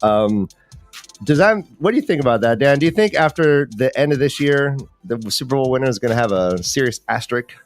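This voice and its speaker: A man's voice: mid-range voice, animated, brisk, faint music behind. Man hosting a casual sports chat. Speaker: host of a fantasy football livestream.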